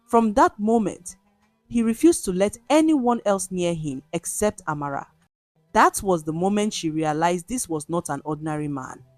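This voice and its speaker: Slow, expressive woman storyteller. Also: fairly low pitch, no echo. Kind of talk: scripted dramatic folktale narration. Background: music.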